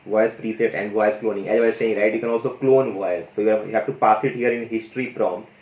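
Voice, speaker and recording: A fairly low-pitched man talks through a screen-share tutorial in a loose, excited way. He keeps throwing in "you know" and "guys". His voice rings with a roomy echo. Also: quick pace. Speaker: tech YouTuber demonstrating an AI audio tool.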